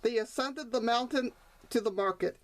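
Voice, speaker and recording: A woman reading a children's story aloud as its author, in expressive scripted narration. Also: on the high side, normal speed, slight background noise.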